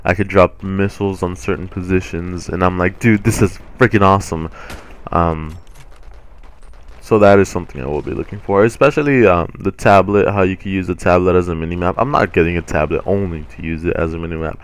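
A young man hosting a gaming channel, rambling casually over gameplay with a deep voice and many ums, his throat a little sore. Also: a little fast, clear, resonant tone. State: sore throat from swollen tonsils.

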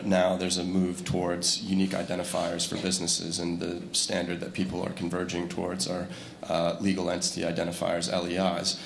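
Low, flat, gravelly man on a panel. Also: a little hiss or hum. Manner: measured, many fillers, restarts. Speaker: startup CEO panelist. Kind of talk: conference panel discussion. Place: large conference room with audience. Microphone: handheld mic. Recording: echoey hall, faint ambience.

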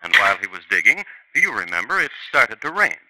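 A man's voice: lowish voice, big pitch swings, fairly quick, very noisy. A man narrating a 1950s radio crime drama in a wry, theatrical storytelling manner. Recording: old radio broadcast with music.

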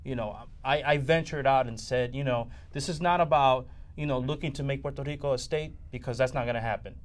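A man in his thirties argues his point as a guest on a televised panel. His voice sits at a middle pitch, and he talks emphatically, often restarting and repeating words. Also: fairly quick. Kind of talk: panel discussion. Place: TV studio.

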